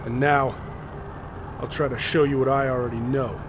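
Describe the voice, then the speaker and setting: An actor playing a commanding, methodical leader of a polar expedition in an audio drama, explaining things deliberately and with authority. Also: male voice, medium pitch, little pitch movement, noisy background, lightly acted. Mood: resolute.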